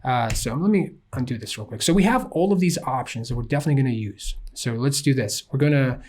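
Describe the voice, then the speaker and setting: A man from Ukraine explaining software step by step at a desk microphone, casual, with frequent fillers. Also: medium pitch, fast. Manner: casual, walks through steps with frequent fillers. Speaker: software tutorial instructor. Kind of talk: screen-recorded software tutorial.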